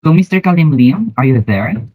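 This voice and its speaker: A man with a Filipino accent hosting an educational podcast in a deliberate, formal way, introducing and questioning guests from prepared notes. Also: on the high side, big pitch swings, no echo, lo-fi sound. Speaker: podcast host.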